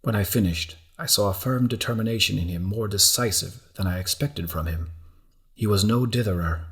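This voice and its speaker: Male audiobook narrator, low pitch, measured, deliberate phrasing, scripted literary storytelling. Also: fairly bright tone.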